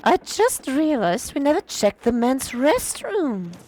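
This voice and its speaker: A hoarse woman voicing a cheeky, boastful young female witch student. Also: sits high, slow pace, slight background noise, pitched above the performer's own voice, full character voice, poor audio. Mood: excited. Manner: bratty, boastful, raised excited delivery.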